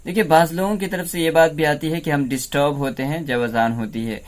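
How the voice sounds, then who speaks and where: A man's voice: a little high, fairly even intonation, brisk. A man giving explanatory commentary, laying out points step by step in a didactic way and mixing in English words. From India. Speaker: presenter of a political analysis video.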